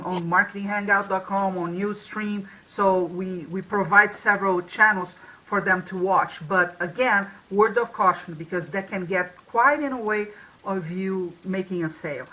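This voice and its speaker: A woman's voice: mid-range voice, normal speed, pushed and bright, poor audio. A woman co-hosting a marketing talk over a video call, with a strong voice and a loose, restarting way of speaking. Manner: many restarts and fillers. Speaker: co-host. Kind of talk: casual webinar talk.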